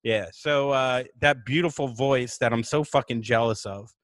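A man's voice: medium pitch, moderate pace, a bit bright, no room sound. A man hosting a casual comedy podcast, crude and self-mocking, laughing often, with a light lisp. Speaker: podcast host.

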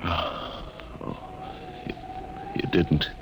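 An actor playing a dazed, injured scientist speaking haltingly in an old-time radio drama. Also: male voice, low voice, wildly swooping pitch, heavily acted. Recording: faintly noisy old radio recording.

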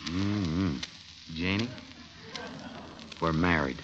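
An actor playing an earnest, boyish young sailor bridegroom in an old radio comedy, eager and a bit bashful. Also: male voice, sits low, very slow, a bit bright, dull audio, exaggerated voice. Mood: tender, bashful. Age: young adult. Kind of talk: 1940s radio comedy acting. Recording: old radio recording, slight noise.

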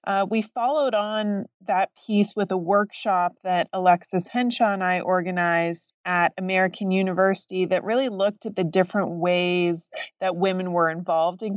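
A woman academic speaks in a measured, thoughtful way, pausing with small fillers as she explains. Her voice sounds distant and dull, like remote lo-fi audio. The sound is dry. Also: on the low side, dry recording. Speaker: guest author, professor. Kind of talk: podcast interview answers.

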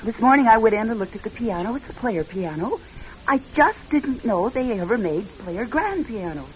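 A woman's voice: a little high, big pitch swings, normal speed. An actress playing an anxious, loving, practical woman in a radio drama, telling her story and speaking in an earnest, dramatic way. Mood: pleading, earnest. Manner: hushed, dramatic storytelling, earnest pleading in dialogue. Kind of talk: radio drama acting and first-person suspense narration. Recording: dull lo-fi radio recording, music behind. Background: music.

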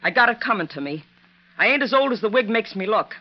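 Actress doing tough cornered outlaw woman, old radio western, folksy talk, big pitch swings, muffled old recording. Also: animated, fast talker, lightly acted. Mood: bitter resignation. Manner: tough, folksy frontier speech. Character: cornered woman villain disguised as older ranch owner, defiant. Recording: dull vintage radio recording.

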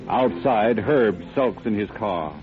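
A man with an American accent giving formal, measured, dramatic narration in an old-time radio style. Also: lowish voice. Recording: tinny old radio recording with music.

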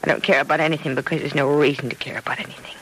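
A woman's voice: deep voice, steady tone, racing, a bit bright, slightly rough. An actress playing a despondent, stubborn young woman patient in an old-time radio serial, speaking with bitter, hesitant resignation. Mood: bitter, resistant. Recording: noisy vintage radio drama recording.

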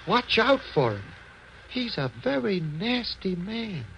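A man's voice: high voice, sing-song, slow, vocal fry, fairly bright tone, heavily acted. A man playing a sly, short street-corner informant, cagey and slangy with an American accent, in a 1940s radio noir drama. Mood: confiding, wary. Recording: muffled, noisy vintage radio recording.

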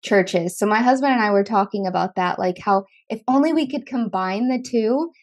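A woman's voice: medium pitch, normal speed. A woman hosting a podcast, talking casually with lots of "like" and filler words. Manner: chatty, filler-heavy, frequent "like". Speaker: podcast host. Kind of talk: casual conversation.

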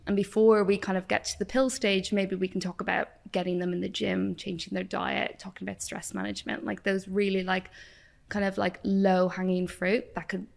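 A woman's voice: medium pitch, little pitch movement, normal speed, gravelly. An Irish woman in her thirties, a doctor and nutritionist, answering interview questions thoughtfully with many fillers. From Ireland. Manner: thoughtful, with many likes and ums. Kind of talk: podcast interview.

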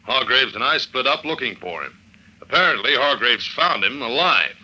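A hard-boiled detective from a vintage radio thriller. The voice sits at a middling pitch with a sharp, driving edge. He delivers slangy lines in clipped, wry fashion. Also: expressive, normal speed, husky, heavily acted, low-quality recording. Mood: confident, explaining. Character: tough, wry male detective agent narrating his own case. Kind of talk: old-time radio drama acting, hard-boiled first-person narration. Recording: vintage radio drama recording.

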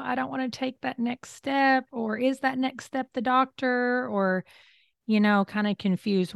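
A woman's voice: medium pitch, creaky, clear, resonant tone, no echo, studio quality. A woman hosting a conversational interview, talking in an open, affirming way. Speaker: host.

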